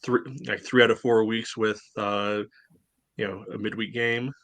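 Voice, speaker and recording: A man's voice: lowish voice, little pitch movement, unhurried, a bit bright, no echo. A man co-hosting a sports podcast, talking bluntly and sarcastically with lots of filler words. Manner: blunt, sarcastic, many "you know" fillers. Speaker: podcast co-host. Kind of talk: casual sports podcast chat.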